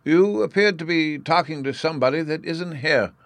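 A man voicing an excitable, absent-minded, kindly old professor in a storybook, with a flustered, pedantic manner. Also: fairly high pitch, moderate pace, dry recording, voice pushed up, lightly acted. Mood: flustered, wild.

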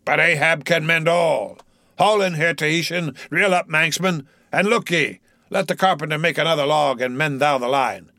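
A man's voice: on the high side, steady tone, moderate pace, bright, forceful tone, no echo, clean studio sound, pitched above the performer's own voice. A man voicing a mad, brooding old sea captain in an audiobook, declaiming grandly in archaic speech. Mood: defiant command.

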